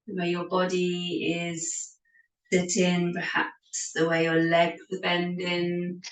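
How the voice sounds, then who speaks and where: Slow woman guiding yoga, distant and echoey. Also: fairly low pitch. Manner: gentle, soothing, unhurried guidance with pauses. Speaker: yoga teacher. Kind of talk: guided meditation and yoga class instruction. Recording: echoey room, distant microphone.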